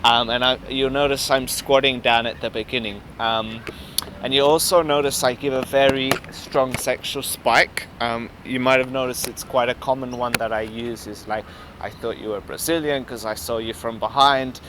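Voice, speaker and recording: A man's voice: mid-range voice, steady tone, moderate pace. A young Australian man with a laid-back manner, chatting casually and narrating with lots of ums. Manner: laid-back, laughs often, many ums. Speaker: dating coach. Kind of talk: casual street chat and voice-over commentary. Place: outdoors in a city park. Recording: outdoor city noise, traffic.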